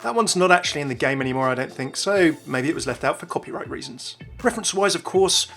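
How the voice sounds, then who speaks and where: A man's voice: a little high, lively intonation, fast talker, a bit bright, soft background music. A man hosting a scripted video game lore voice-over in a chatty, informal way.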